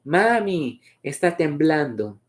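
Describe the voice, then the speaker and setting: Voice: mid-range voice, expressive, a little slow, close to an everyday voice. A story reader voicing a frightened young son, fearful and pleading. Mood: alarmed. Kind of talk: storybook read-aloud dialogue.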